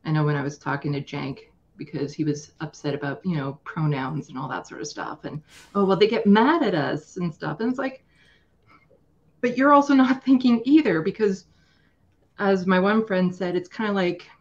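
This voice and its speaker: A woman's voice: fairly low pitch, normal speed, dull audio. A woman guest speaking earnestly and emphatically in a casual political discussion, often acting out imagined quotes.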